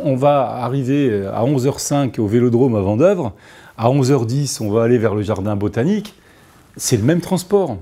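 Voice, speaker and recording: A man in his fifties speaks off the cuff as a mayor in an interview. His voice is mid-pitched and mellow, with a husky edge. He is emphatic and often repeats words as he searches for the next. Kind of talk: spontaneous interview answer. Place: office or meeting room indoors.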